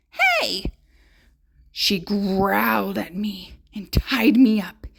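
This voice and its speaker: A small, indignant furry beast voiced by a woman tells its storybook woes with great melodrama. The delivery is slow and drawn-out, with big swoops in pitch. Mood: cheerful, then startled.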